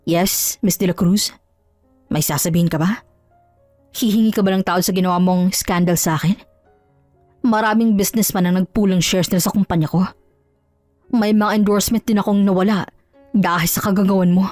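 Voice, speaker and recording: A woman's voice: mid-range voice, fairly even intonation, fairly quick, pauses often, darkish tone, no echo, natural voice. A woman voicing a cold, powerful male company boss who speaks in a cutting, commanding way. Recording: dry, faint music underneath.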